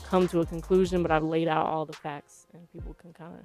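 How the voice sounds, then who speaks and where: Low-voiced woman explaining carefully in interview. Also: level tone, a little mellow, faint music behind. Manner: hesitant, measured, careful explaining. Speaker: journalist and author being interviewed. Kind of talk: interview answers.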